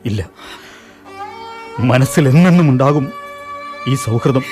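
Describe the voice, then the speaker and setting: A man's voice: medium pitch, lively intonation, slow. An actor playing a young man forced to flee his homeland, speaking with earnest anguish in a radio drama. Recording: music bed under the voice.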